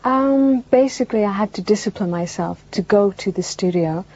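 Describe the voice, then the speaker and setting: A young Irish woman, Irish Gaelic her first language, giving soft, hesitant interview answers with many fillers. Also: medium pitch, moderate pace, slightly rough. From northwest Ireland. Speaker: musician guest. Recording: dull lo-fi broadcast tape.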